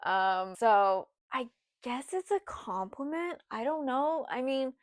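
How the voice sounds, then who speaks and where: A woman's voice: high voice, expressive, slow pace, no room sound. Chatty young woman, rambling and dry. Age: 20s. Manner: rambling, lots of "like" and "you know", voice drops soft at times. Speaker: vlogger. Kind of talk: casual personal storytelling.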